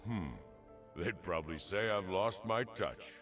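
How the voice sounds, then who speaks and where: An actor playing a gruff old veteran warrior in a video game, gravelly, with a dry, sarcastic, folksy delivery. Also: male voice, low-pitched, unhurried, over loud music. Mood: reflective.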